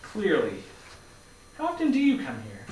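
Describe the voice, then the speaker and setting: A man's voice: sits high, wildly swooping pitch, normal speed, darkish tone. A man acting in a staged play reading as a skeptical, grumbling customer with a dry, wry delivery. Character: skeptical, dryly grumbling male customer, a bakery regular. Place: live staged reading in a room. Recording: roomy, distant mic, faint noise.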